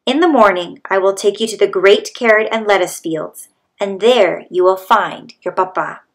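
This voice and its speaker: A woman's voice: medium pitch, moderate pace, close to an everyday voice. A woman voicing a sly, greedy male coyote in a children's storybook, wheedling and demanding. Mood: coaxing. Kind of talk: children's storybook read-aloud.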